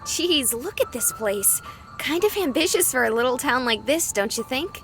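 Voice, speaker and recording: A woman playing a nosy, excitable young streamer in a visual novel, with a casual, sarcastic way of talking. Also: sits high, normal speed, over music.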